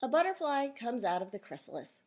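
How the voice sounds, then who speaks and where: A woman reading a children's nonfiction book aloud as a teacher, pausing to explain and question her young listeners directly. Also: fairly high pitch, big pitch swings, background noise.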